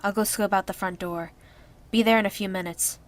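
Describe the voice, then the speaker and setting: A woman's voice: mid-range voice, fairly even intonation, brisk, close to an everyday voice. A woman playing a curt, bossy, sarcastic young survivor in a zombie audio drama, with clipped, commanding delivery. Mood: dry, irritated.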